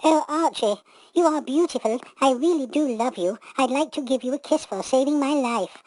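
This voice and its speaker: A woman's voice: high-pitched, normal speed, darkish tone, no echo, pitched above the performer's own voice, low-quality recording. A woman playing a sweet, gullible young fairytale princess in a children's pantomime. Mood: adoring.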